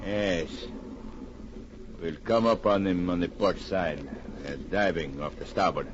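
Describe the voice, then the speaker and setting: A cold, methodical smuggling boss in a vintage radio adventure. He gives menacing, deliberate orders in a low voice on a muffled, noisy recording. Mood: calculating. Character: cold, methodical male gunrunner ringleader. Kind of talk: 1940s radio villain acting.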